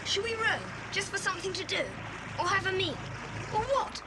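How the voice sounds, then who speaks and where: A woman playing an excitable young British schoolboy in a children's audio adventure drama. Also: very high voice, moderate pace. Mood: curious. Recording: noisy old cassette story tape.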